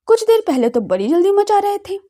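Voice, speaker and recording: A woman voicing a caring young sister-in-law in an audio drama, teasing and affectionate, with expressive delivery. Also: extremely high pitch, brisk, pitched above the performer's own voice. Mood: teasing surprise. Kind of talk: audio drama character dialogue.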